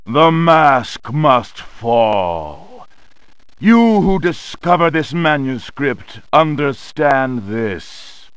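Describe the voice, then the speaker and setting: A centuries-old undead pirate sorcerer gloats in a dramatic video game monologue. His delivery is extremely slow and theatrical, with big swings in pitch. The sound is close and dry, full of boastful menace. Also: big pitch swings, a bit bright, clear, resonant tone, no room sound, full character voice. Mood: ominous, gloating.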